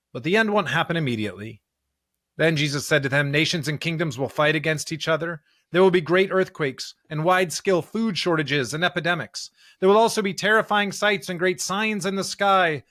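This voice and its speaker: A man's voice: fairly high pitch, a bit bright, dry recording, clean studio sound. An American man co-hosting a church podcast, chatting casually and reading scripture. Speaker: podcast co-host and pastor.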